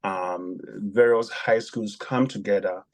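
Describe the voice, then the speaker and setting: A man from Ghana, a podcast guest telling his story with many pauses and ums. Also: lowish voice, fairly even intonation, low-quality recording. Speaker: guest, former javelin athlete.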